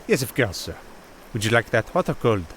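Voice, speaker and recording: A man's voice: medium pitch, big pitch swings, fairly quick, fairly bright tone, a little hiss or hum. A man playing an eager, polite inn servant in a fantasy audio roleplay, speaking with servile deference. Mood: polite, attentive.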